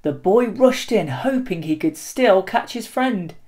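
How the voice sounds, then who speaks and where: Slow storyteller reading a kids picture book out loud, deliberate and dramatic, expressive. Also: medium pitch. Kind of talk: expressive children's picture book read-aloud.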